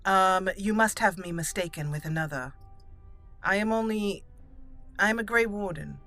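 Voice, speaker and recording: A woman improvising in character as she plays a fantasy game, speaking haltingly and thoughtfully in formal phrasing. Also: medium pitch, a bit bright. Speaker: gaming channel host, roleplaying player. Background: game music.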